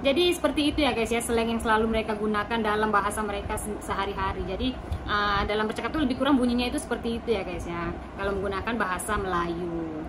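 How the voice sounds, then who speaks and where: A woman's voice: medium pitch, fast. A woman from Indonesia chatting to her viewers in a casual explainer vlog. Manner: chatty, addresses viewers as guys. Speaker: vlogger. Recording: noisy recording.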